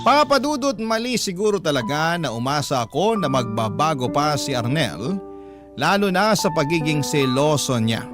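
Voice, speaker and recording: A man hosting on radio, reading a listener's letter as measured, sentimental first-person narration. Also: mid-range voice. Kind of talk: dramatic first-person storytelling, scripted narration. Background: prominent music bed.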